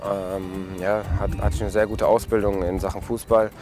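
A young man in his twenties from Germany, answering interview questions outdoors in a relaxed way, with many fillers and restarts. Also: lowish voice, moderate pace, over loud music. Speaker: interviewee, footballer. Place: outdoors at a stadium and a café terrace.

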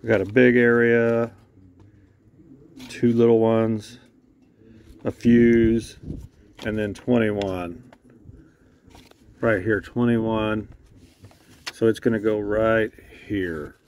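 A man's voice: on the low side, extremely slow, pauses often, a little hiss or hum. A middle-aged man casually narrating a repair job on a pickup truck, talking as he works with his hands. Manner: relaxed, talks while working with his hands. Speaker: mechanic showing a repair. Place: inside a pickup truck and at its engine bay outdoors.

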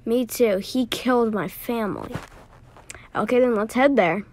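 High-pitched woman acting out a story. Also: unhurried. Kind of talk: amateur voice acting for animated story.

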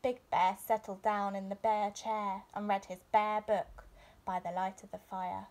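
A woman's voice: mid-range voice, little pitch movement, unhurried, a little mellow. A young adult woman narrating a children's bedtime story expressively, like a teacher reading aloud indoors. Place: small furnished room indoors.

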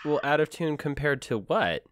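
Brief: male podcast co-host, casual and rambling, clear resonant voice. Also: on the high side, expressive, moderate pace, a bit bright, dry recording, clean studio sound. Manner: explanatory, rambling with restarts. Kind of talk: casual chat.

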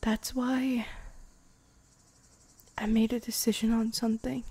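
A woman voicing a loving young vampire girlfriend in an intimate, improvised ASMR roleplay, tender and hushed, often slipping into whispers, close on the microphone. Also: on the high side, flat delivery, slow pace, breathy edge, exaggerated voice. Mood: fearful, devoted. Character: loving young vampire girlfriend, playful yet afraid of loss.

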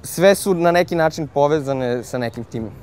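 A man giving a halting on-camera interview answer, searching for words. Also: fairly high pitch, a little mellow. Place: outdoors on a city street. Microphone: lapel. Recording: street noise with traffic behind.

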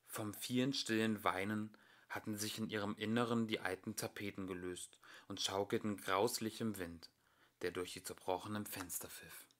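A young man reading a children's storybook aloud as an amateur narrator, in an expressive storytelling manner. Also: on the low side, normal speed. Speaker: amateur audiobook reader.

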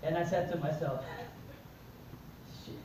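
Middle-aged guy w a mexican accent, kinda high voice, echoey conference room heard from far back. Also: unhurried, slight background noise. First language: Spanish. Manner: animated storyteller, acts out dialogue. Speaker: motivational speaker. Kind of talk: personal story talk to an audience. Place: conference room with seated audience. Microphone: far camera in the audience.

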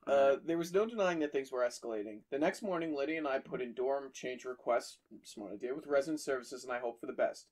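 A man's voice: medium pitch, fast talker, few pauses, a little mellow. A man reading a horror story aloud on a podcast, stopping for jokey asides to his friends. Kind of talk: casual story reading with banter.

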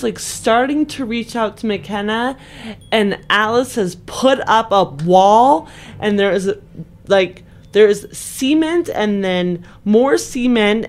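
A young woman in a casual, emphatic discussion of a game story, speaking informally with lots of "like". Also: medium pitch. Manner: informal, emphatic, frequent filler "like". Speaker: podcast panelist and tabletop game player. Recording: music playing behind the voice. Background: background music.

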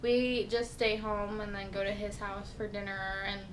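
A young woman in her twenties talking casually to a handheld camera, chatty and excited, with many ums. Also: medium pitch, moderate pace, slight background noise, rough recording. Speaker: vlogger. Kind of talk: casual vlog chat. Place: small home room with fan, indoors. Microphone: action camera held at arm's length.

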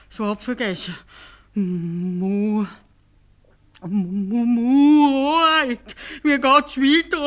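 A woman's voice: mid-range voice, fairly bright tone. A woman voicing a timid, flustered male stork in a children's fairy tale, faltering and whiny.

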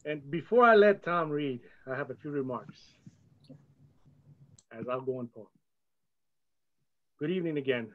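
A man's voice: fairly high pitch, moderate pace, pauses often. A man hosting a ceremony with florid, formal introductions and remarks, heard through lo-fi audio. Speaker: event host, outgoing poet laureate. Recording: dull, lo-fi audio.

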